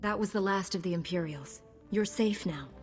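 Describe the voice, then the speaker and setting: An actress playing a composed, resolute young Jedi knight in a video game. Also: female voice, mid-range voice, normal speed, over loud music, natural voice. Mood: determined. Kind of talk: video game cutscene acting.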